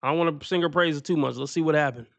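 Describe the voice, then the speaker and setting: A man's voice: on the high side, fast, creaky, a bit bright, dry recording. A man hosting a livestream, reacting to a played clip with blunt, mocking commentary. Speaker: livestream host reacting to clips.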